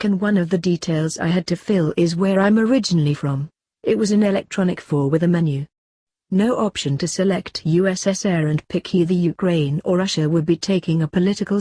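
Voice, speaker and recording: Female narrator for read-aloud forum posts, dry close sound, flat steady delivery. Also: on the low side, moderate pace, no room sound.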